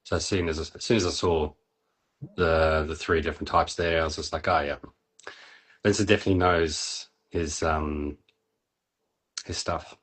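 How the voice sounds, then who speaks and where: A man's voice: rumbling low, fairly even intonation, moderate pace, pauses often, a bit bright, husky. A man chatting casually and informally in a laid-back way. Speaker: poet and panel participant in a live reading. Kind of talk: casual chat.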